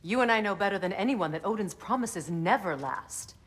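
A woman's voice: mid-range voice, lively intonation, fairly quick, close to an everyday voice. A woman playing a resolute, wary warrior goddess in a fantasy video game drama. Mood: resolute warning.

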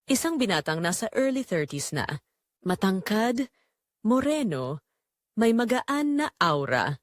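Female Filipino narrator for first-person audio drama, confessional, lively intonation, mid-pitched, very dry recording. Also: unhurried, no echo. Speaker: narrator telling the story as its main character.

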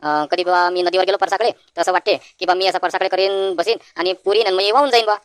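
A woman's voice: lowish voice, fairly even intonation, quick pace, clear, resonant tone, dry recording, clean studio sound, lightly acted. A woman voicing an anxious, naive village man in a comic cartoon, rambling with hesitant fillers in a rural Vidarbha Marathi accent. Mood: fretful. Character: anxious rustic village man, naive worrier visiting a doctor.

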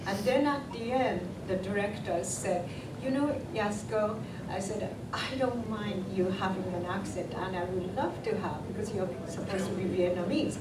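A woman's voice: medium pitch, far from the mic, very noisy. An older woman telling stories on a convention panel, laughing often. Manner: slow, laughing storyteller. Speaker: panel guest actress. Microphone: table mic on stand, far. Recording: roomy hall with loud crowd.

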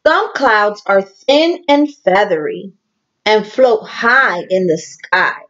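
A woman teacher reading a picture book aloud to young children in a deliberate, animated storytelling manner, with asides to her listeners. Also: mid-range voice, dull audio.

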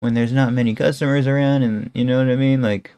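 A man's voice: medium pitch, steady tone, fast talker, warm tone, clear, resonant tone, dry recording. Young man rambling casually on a headset. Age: young adult. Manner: casual, rambling, many fillers like "bro" and "guys". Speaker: streamer reacting to videos. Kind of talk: casual reaction commentary. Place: indoor room at home. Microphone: headset, webcam framing.

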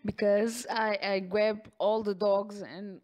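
Very slow-speaking woman, ASMR girlfriend roleplay. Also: mid-range voice, steady tone, darkish tone. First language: not English. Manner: slow, affectionate, with frequent sighs and giggles.

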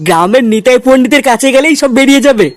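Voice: high voice, quick pace, dry recording, close to an everyday voice. A performer voicing an irritable, sarcastic village man in a comic cartoon, brusque and grumbling. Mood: sceptical. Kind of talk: comic cartoon dialogue in colloquial Bengali.

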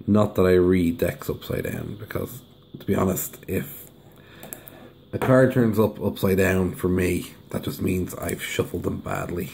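A hoarse man, talking casually and humming to himself as he reviews and unwraps something at a table. His voice sits very low and gravelly. A faint hiss runs under the recording. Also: big pitch swings, measured pace, darkish tone. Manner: chatty, hums while unwrapping. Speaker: deck reviewer. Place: indoors at a table. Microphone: camera over the table filming hands.

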